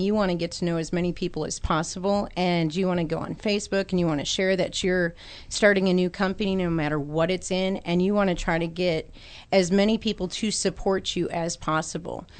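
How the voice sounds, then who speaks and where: A woman's voice: on the low side, steady tone, fairly quick. A woman guest on a radio talk show, joking, bold and cheeky while sharing business tips. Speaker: guest entrepreneur. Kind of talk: casual radio interview.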